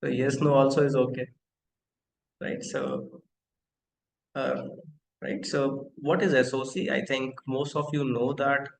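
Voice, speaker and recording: A man's voice: mid-range voice, flat delivery, measured pace, gravelly, pauses often, roomy sound, slight background noise, rough recording. A man in his thirties hosting a live online technical lecture, talking interactively with many fillers and often asking the audience questions. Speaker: webinar host and company founder.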